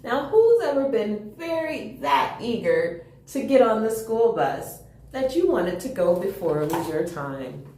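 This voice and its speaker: A woman's voice: medium pitch, very animated, measured pace, dark tone, slight background noise. A woman hosting a children's storytime, reading aloud and chatting with young listeners in an enthusiastic, question-filled way. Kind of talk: animated children's read-aloud with chatty asides. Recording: roomy, echoing sound.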